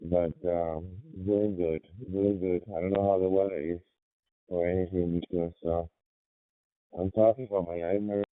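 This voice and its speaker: A man chatting casually and haltingly as an amateur radio operator, heard over a radio link. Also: sits low, steady tone, dry recording. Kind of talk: casual radio contact chat. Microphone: digital ham radio transmission. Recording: tinny phone-like radio link.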